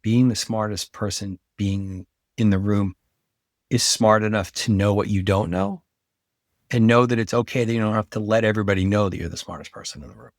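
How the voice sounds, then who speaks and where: Low-voiced middle-aged man, close and dry. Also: fairly quick, no echo. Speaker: podcast guest, brand marketing expert. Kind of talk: casual interview conversation.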